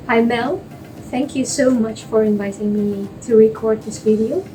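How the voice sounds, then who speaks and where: Female research guest, young adult, from Russia, native Russian speaker, soft mellow tone, explanatory, a little off the mic. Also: a little high, normal speed, far from the mic, soft background music, low-quality recording. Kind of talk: explanatory interview answers for a lay audience.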